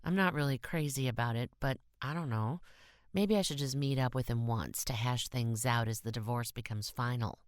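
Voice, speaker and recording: A woman's voice: extremely low pitch, moderate pace, no room sound, clean studio sound. A woman voicing a lonely, affectionate young divorcing woman in an audiobook story, confiding and gushing. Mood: hesitant.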